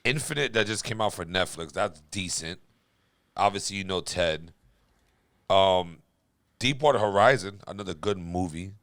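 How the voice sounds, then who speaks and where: A man's voice: deep voice, lively intonation, moderate pace, a bit bright, no echo. A man hosting a podcast, talking in casual, slangy chat with friends. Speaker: podcast host.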